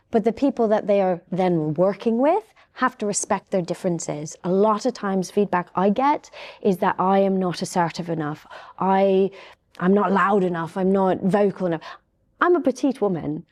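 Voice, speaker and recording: A woman speaking on a panel, measured and thoughtful, slowing down to stress her points. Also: medium pitch, darkish tone. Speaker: panel guest. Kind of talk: casual panel discussion.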